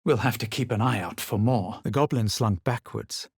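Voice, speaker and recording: A self-assured fantasy game adventurer with a low, husky voice. He speaks in measured, dramatic phrases with a superior edge. The recording is close and dry. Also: no room sound. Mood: wary. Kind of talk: video game voice acting, barks and past-tense narration.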